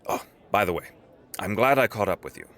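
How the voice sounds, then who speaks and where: A man playing a polite businessman gentleman with dry humor and a courteous, formal manner in an audio drama. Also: on the low side, animated, fairly quick. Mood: courteous, wry.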